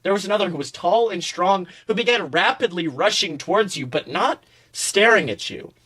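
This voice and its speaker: A man's voice: sits high, lively intonation, moderate pace, bright, forceful tone. A man narrating a second-person story dramatically, as a podcast host reading fan fiction.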